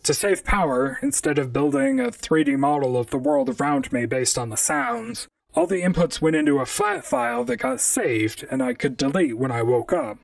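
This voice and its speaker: A robot gumshoe in a radio-style drama, dry-witted and chatty. The pitch swoops widely over a gravelly, creaky edge. The voice sits slightly back from the mic in a dry space. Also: very animated, normal speed, few pauses, dry recording, far from the mic, rough recording. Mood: dry narrating. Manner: dry, conversational first-person noir narration. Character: wry robot private detective, talkative and unflappable. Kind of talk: audio drama acting and narration.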